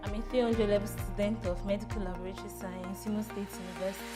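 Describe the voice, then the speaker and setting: A woman's voice: mid-range voice, steady tone, quick pace. A twenty-year-old woman student introducing herself with confident ambition. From Nigeria. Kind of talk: self-introduction. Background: background music.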